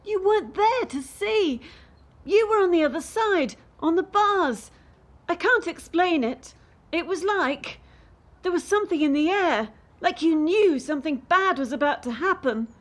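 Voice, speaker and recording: High-voiced, anxious young female gymnast. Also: expressive, a little slow. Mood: uneasy, suspicious. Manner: anxious, aggrieved, brooding. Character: distressed, idealistic young female competitive gymnast. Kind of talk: radio play acting.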